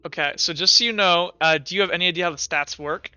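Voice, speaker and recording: Young male game master, crisp and bright, talks in halting bursts with lots of fillers. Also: sits high, no room sound, muffled recording. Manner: halting, full of fillers and restarts. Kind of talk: narrating and explaining a tabletop game.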